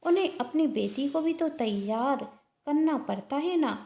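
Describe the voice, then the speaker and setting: A woman's voice: sits high, expressive, unhurried, mellow, pitched above the performer's own voice. A woman storyteller playing a dutiful, polite and earnest younger daughter-in-law with a shrewd streak. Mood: pointedly innocent. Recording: roomy echo.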